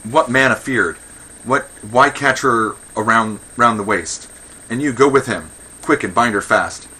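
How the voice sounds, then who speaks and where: A man's voice: mid-range voice, unhurried, bright, forceful tone, breathy edge, poor audio. A man playing a pompous, indignant old Athenian magistrate in a verse comedy, declaiming with blustering contempt for women. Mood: barking orders. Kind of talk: verse comedy read aloud.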